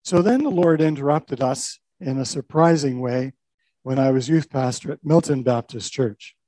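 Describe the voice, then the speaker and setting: A man's voice: medium pitch, moderate pace, mellow, dry recording, poor audio. An older man, a pastor telling a personal testimony in a reflective, storytelling way. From Canada. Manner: reflective storytelling with small restarts.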